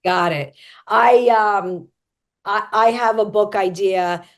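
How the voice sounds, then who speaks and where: A woman's voice: mid-range voice, takes it slowly. A woman comedian hosting a podcast interview, chatting in a jokey, self-promoting way. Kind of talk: casual interview chat.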